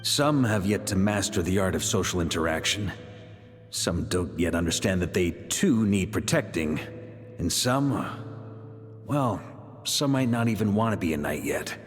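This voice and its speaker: An actor playing a seasoned knight commander in a game, calm, steady and reassuring. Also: male voice, deep voice, unhurried, long reverb tail, soft background music. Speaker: grand master of a knightly order.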